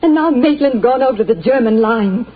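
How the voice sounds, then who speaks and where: An actress playing an anxious, genteel wartime wife, in a 1940s radio play. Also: female voice, a little high, animated, fairly quick. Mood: distressed. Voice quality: genteel, pleading. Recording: tinny 1940s radio broadcast.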